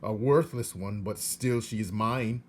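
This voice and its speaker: A man voicing a greedy, indignant old uncle who bursts out loudly and high. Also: a little slow, slight background noise, natural voice. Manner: loud, exasperated outbursts.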